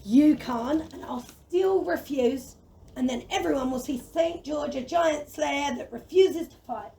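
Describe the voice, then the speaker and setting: A woman playing a gentle, timid dragon who would rather reason than fight, in an amateur audio play. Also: high-pitched, a little slow, creaky, slight background noise. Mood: earnest, stubborn. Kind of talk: community theatre audio play acting.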